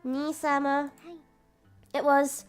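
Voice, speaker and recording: A woman's voice: high-pitched, monotone, slow pace, soft background music. A woman reading a visual novel aloud, voicing a formal, doting young little sister who speaks politely. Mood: prim, doting.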